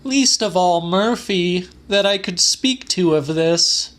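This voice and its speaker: A man's voice: high voice, lively intonation, slow, a bit bright, voice pushed up, full character voice. Casting: male reader as lovelorn young woman, imploring and earnest, in a raised voice, for literary audiobook. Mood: pleading. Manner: imploring, emphatic.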